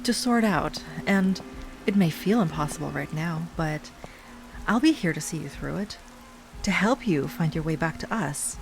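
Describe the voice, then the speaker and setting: A woman playing an obsessive, lovesick stalker ex-girlfriend in an intimate audio roleplay monologue, sweet yet menacing, with a breathy delivery that drifts into whispers. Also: on the low side. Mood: sweetly menacing. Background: rain and music.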